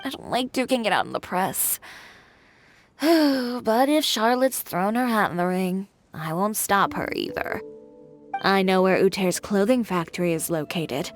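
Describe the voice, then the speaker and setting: A self-assured young woman designer from a video game, speaking at a medium pitch. The manner is dry, proud and decisive, with music playing behind the voice. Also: lively intonation, unhurried. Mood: dry, composed. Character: confident, determined young woman fashion designer, proud and brisk.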